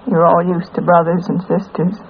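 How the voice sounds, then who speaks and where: A woman playing a dying, weary, tender mother in a 1940s radio drama, with weak, halting speech. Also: on the low side, little pitch movement, creaky. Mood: weary, urgent. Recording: muffled, hissy old radio recording.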